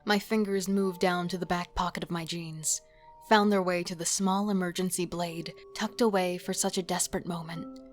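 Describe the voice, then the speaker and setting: A woman's voice: on the low side, fairly even intonation, moderate pace, faint music behind, lightly acted. A woman playing an intense, defiant serial killer devoted to her partner, acting and narrating in a horror audio drama. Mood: grim resolve.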